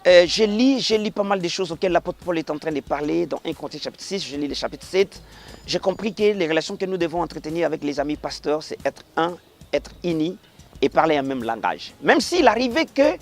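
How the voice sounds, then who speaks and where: Man, pastor, middle-aged, from Congo Central, fairly high voice, earnest, emphatic then hushed. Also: animated, brisk. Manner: earnest, swings from raised emphatic passages to low quiet blessings. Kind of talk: formal interview remarks, preacherly.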